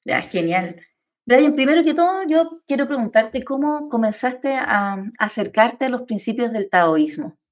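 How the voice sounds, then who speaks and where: A woman's voice: fairly high pitch, animated, unhurried. A woman hosting a podcast, introducing and interviewing in a prepared, presentational style. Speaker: podcast host.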